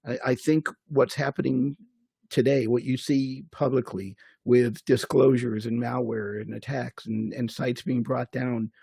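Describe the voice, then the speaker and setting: A man in his sixties, a security officer on a panel, speaking in a measured, reflective way. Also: mid-range voice, flat delivery, vocal fry, warm tone, slightly rough, no echo. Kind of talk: panel discussion.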